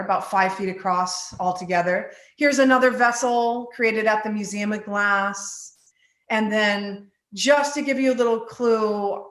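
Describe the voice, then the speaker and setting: A woman from the Bahamas and Virgin Islands, a glass artist giving a casual guided tour in an informal, halting way with pauses between phrases. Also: mid-range voice, a bit bright. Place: living room of a home studio. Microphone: phone on a selfie stick. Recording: echoey room, live video stream.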